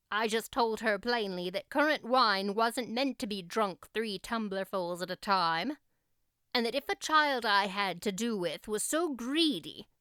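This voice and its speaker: Woman playing a brisk, stern older woman, clear-voiced. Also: medium pitch, fairly bright tone, dry recording, studio quality. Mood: indignant. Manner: brisk, curt, no-nonsense. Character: stern, practical older female guardian, gruffly kind. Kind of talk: audiobook character acting.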